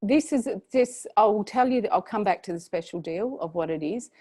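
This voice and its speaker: A woman with an Australian accent presenting a paid program in a chatty, filler-heavy way, her voice going slightly hoarse. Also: mid-range voice, lively intonation, a little fast, mellow, no room sound. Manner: chatty, many fillers and restarts. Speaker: program host presenting an offer. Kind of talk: webinar sales pitch.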